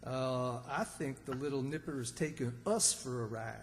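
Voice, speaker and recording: A man's voice: mid-range voice, normal speed, natural voice. A man playing a naive, timid country character with a rural Southern accent in old-time radio comedy, folksy and hesitant. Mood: puzzled. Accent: American South, rural. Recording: echoey hall, long reverb.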